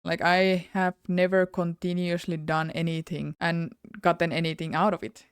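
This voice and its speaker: A woman's voice: lowish voice, flat delivery, moderate pace, clean tone, no echo, studio quality. Candid Finnish-accented young woman vlogging. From Finland. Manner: candid and rambling, with self-corrections and little laughs. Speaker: YouTuber. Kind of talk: personal vlog confession.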